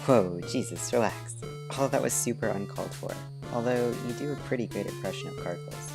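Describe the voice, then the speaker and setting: A woman's voice: extremely low pitch, a little fast, over music, poor audio. A woman voicing a mild, concerned young male convention cosplayer in a comic fan dub, casual in manner. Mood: taken aback.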